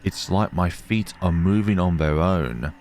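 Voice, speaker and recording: A man's voice: low-pitched, measured pace, darkish tone, a little hiss or hum. A man hosting a let's play, reading game text aloud dramatically and reacting. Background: game music.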